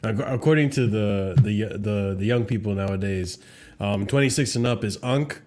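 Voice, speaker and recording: A man's voice: lowish voice, normal speed, background noise. Man in his thirties, thoughtful and hedging. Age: 30s. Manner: thoughtful, hedging, self-correcting. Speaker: podcast co-host. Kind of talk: casual football chat.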